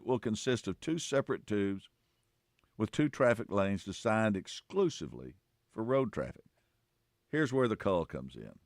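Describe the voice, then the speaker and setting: A man co-hosts a casual radio show. His folksy, halting talk rises and falls with lively pitch, recorded dry and close. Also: dry recording. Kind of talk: casual radio banter and news chat.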